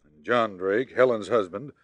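An actor playing a hard-boiled but sympathetic police commissioner in an old-time radio mystery. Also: male voice, fairly low pitch, moderate pace, no room sound, lightly acted. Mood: grave, sympathetic.

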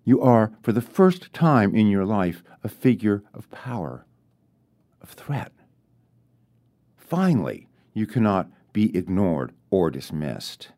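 Mellow, expressive male author reading fiction. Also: lowish voice. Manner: deliberate, dramatic pauses. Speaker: author reading own story. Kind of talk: literary storytelling, scripted narration.

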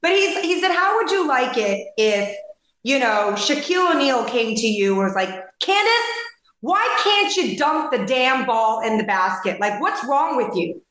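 A woman with a hoarse voice hosting a podcast interview, animated, laughing often and telling stories by analogy. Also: high voice, a little slow. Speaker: podcast host and lawyer. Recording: very echoey room.

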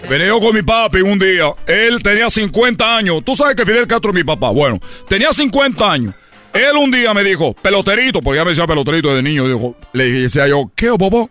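A man's voice: high voice, sing-song, quick pace, sharp tone, faint music behind, exaggerated voice. A man playing a boastful baseball player, who rambles and stammers through his stories. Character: boastful Cuban baseball player, claims a famous father.

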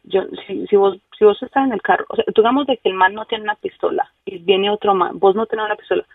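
A woman's voice: medium pitch, steady tone, fast talker, no room sound, distant mic. A Colombian woman calling into a radio show, talking bluntly and swearing freely in a Colombian accent. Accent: Colombian Spanish. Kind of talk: casual chat by phone. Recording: tinny phone line.

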